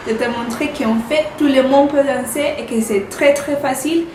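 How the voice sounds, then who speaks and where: A woman's voice: sits high, normal speed. A young woman from Colombia speaking French with a Colombian Spanish accent, talking frankly and chattily to camera as a vlogger and laughing between points. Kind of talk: casual talk to camera. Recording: roomy sound from a distant mic, faint hiss.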